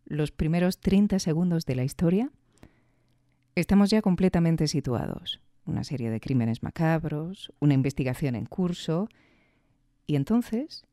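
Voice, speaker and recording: A woman's voice: sits low, expressive, normal speed, mellow, no echo, studio quality. A woman hosting a podcast, giving reflective commentary with rhetorical questions and emphatic repetition. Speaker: podcast host. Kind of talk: reflective commentary on audio fiction.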